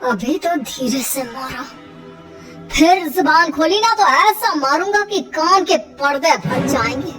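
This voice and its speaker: A woman's voice: high voice, animated, slow pace, far from the mic, music in the background. A woman voicing a harsh, bullying older father-in-law in a cartoon story, scolding and threatening. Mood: menacing.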